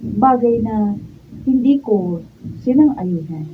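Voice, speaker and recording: A woman's voice: mid-range voice, animated, slow pace, slight background noise. An actress playing a humble, earnest young woman who works as a maid, in a radio drama, recorded from a distance. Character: humble young housemaid and student, polite and earnest. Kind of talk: radio drama acting and first-person narration. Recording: distant, phone-like room recording.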